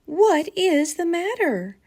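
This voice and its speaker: Storybook primary teacher, read by a woman: gentle, patient, explanatory, lively pitch movement. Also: slow pace, heavily acted. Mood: puzzled, questioning. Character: gentle, reasoning female primary school teacher.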